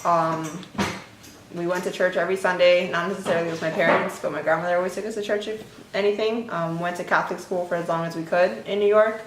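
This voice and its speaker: A young woman from the United States talks casually, with fillers and asides. Her voice sits a bit low. She is recorded in an echoey room with faint noise behind her. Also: fairly even intonation, quick pace. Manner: chatty, with frequent fillers. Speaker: interviewee in a couple's conversation. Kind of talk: casual personal chat.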